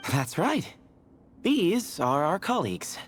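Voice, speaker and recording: A man voicing an easygoing, teasing young temple scholar in a video game, explaining lore in a clear, playful way. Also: a little high, sing-song, slow pace, a little hiss or hum. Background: soft game music.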